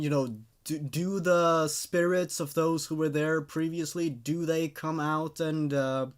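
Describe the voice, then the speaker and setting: Enthusiastic man giving casual commentary. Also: on the high side. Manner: enthusiastic, emphatic, repeats words. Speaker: host reading and reviewing horror stories.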